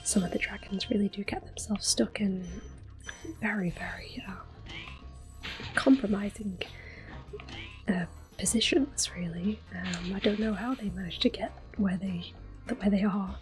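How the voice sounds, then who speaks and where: A woman speaking softly and whispering in a gentle, unhurried, rambling way as she gives casual ASMR commentary while playing a video game. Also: medium pitch, rough recording. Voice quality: soft-spoken, whispery. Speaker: gaming video host. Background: game music and controller sounds.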